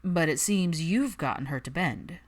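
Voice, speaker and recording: A woman voicing a composed, wry, probing man of an old wizard family, with a smooth, measured manner in audiobook dialogue. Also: low-pitched, lively intonation. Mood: measured, serious.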